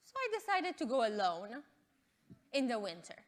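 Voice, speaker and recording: A woman, Farsi speaker, telling a story slowly and deliberately in a stage talk. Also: fairly high pitch, sing-song.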